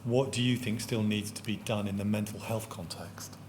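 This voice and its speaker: A man, questioning a witness at a public inquiry in a measured, precise and courteous way. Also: fairly low pitch, fairly even intonation, darkish tone, a little hiss or hum. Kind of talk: formal questioning. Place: large public hearing hall.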